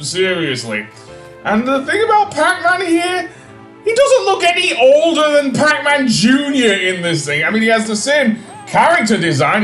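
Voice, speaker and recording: A man giving casual, rambling video game commentary with a halting delivery. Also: on the high side, fairly bright tone. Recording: roomy echo, faint music behind.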